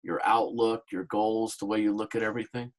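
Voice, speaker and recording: A man's voice: lowish voice, level tone, fairly quick. A man asking questions as a sports reporter at a press conference.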